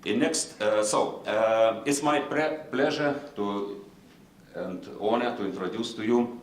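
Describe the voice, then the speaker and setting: A low-voiced man with a Lithuanian accent moderates a conference panel in formal, halting English, stopping often on fillers. The room sound is roomy, with a faint hiss underneath.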